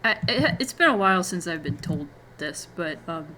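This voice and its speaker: A woman's voice: fairly low pitch, animated, normal speed, bright, forceful tone, slight background noise. An American woman from Florida, a young adult, chatting casually as a streamer in a rambling, giggly way. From Florida, USA. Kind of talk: casual chat.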